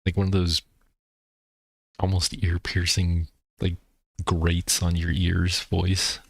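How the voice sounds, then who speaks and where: A man's voice: sits low, monotone, takes it slowly, creaky, dry recording, clean studio sound. A young man streaming a game, telling childhood stories in a rambling, laid-back way while he plays. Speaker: gameplay streamer. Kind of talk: casual chat while playing.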